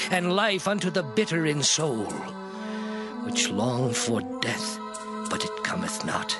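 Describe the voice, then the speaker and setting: An actor playing a grieving man in anguish, declaiming mournfully in a solemn scripture drama. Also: a little high. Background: music.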